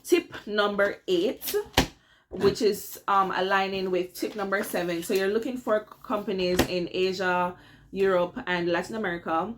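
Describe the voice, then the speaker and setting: A woman's voice: medium pitch, steady tone, moderate pace, background noise. Chatty Jamaican woman giving advice. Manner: chatty, addresses viewers as "guys", repeats "all right?". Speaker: vlogger giving career tips. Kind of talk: casual advice vlog to camera.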